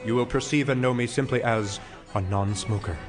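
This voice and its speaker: Actor as a pompous older professor, theatrically wordy. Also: male voice, medium pitch, moderate pace, soft background music, lightly acted. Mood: defiant, grandiose. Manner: theatrical, wordy, self-mocking speechifying. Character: pompous, self-dramatizing retired philosophy professor and smoker. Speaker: actor in an audio play. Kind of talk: stage comedy acting.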